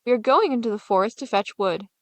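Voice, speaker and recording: Lady doing a mean bossy stepmother for a fairy tale, scolding, scornful, slightly high voice. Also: expressive, fast, dry recording, natural voice. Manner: bossy, sharp-tongued, scornful.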